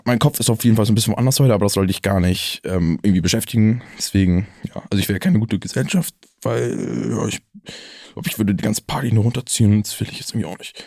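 An actor playing a downcast young activist in an audio drama, haltingly leaving an apologetic, self-deprecating voice message. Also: male voice, mid-range voice, dark tone, no room sound, studio quality, lightly acted. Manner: halting, hesitant, self-deprecating, repeats himself.

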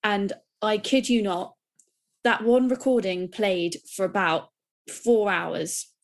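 A woman's voice: mid-range voice, steady tone, slow pace. Young woman chatting on a podcast, gushy and rambly, lots of restarts. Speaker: podcast co-host. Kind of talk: casual chat.

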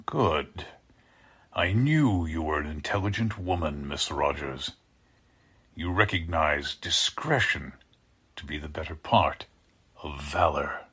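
An actor playing a deranged, sinister scientist with a husky voice, over-polite and menacing, in the style of 1940s radio drama. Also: male voice, very deep voice, expressive, takes it slowly, pauses often, sharp tone, heavily acted. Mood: calm menace. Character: deranged male scientist, sinister, courtly, gloating captor.

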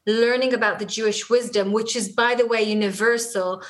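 A woman's voice: a little high, fairly even intonation, a little fast. A middle-aged woman from Israel with an Israeli accent, a native Hebrew speaker, talking earnestly and reflectively as an interview guest, often restarting her sentences. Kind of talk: conversational interview.

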